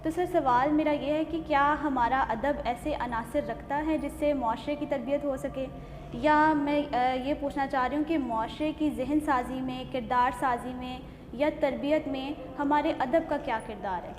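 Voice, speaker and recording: A woman's voice: high-pitched, unhurried. A woman hosting a literary talk show, asking questions in formal, poetic wording. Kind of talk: formal scripted introduction and questioning. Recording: echoey reverberant room, clear background noise.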